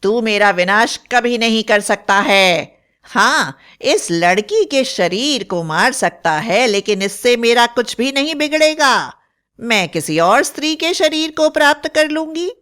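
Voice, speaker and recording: A bloodthirsty cheetah witch from a horror cartoon. The voice is high and crystal clear, drawing out each threat slowly and tauntingly. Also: lively intonation, a bit bright. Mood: defiant, menacing. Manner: threatening, taunting, screeching delivery. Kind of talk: animated Hindi horror fairy tale voice acting.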